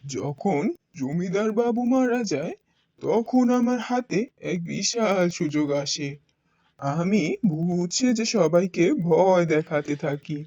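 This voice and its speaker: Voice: mid-range voice, animated, slow pace, mellow, no room sound, highs cut off. A voice actor playing an old male caretaker in a cartoon, a scheming, fawning villain. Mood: confessing. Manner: fawning, then confessing villain. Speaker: cartoon character voice.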